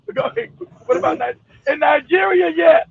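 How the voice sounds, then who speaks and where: High-voiced gravelly guy ranting, swearing a lot, dull lo-fi call audio. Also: normal speed. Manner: profane, animated storyteller. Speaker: show host. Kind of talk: casual chat on a call.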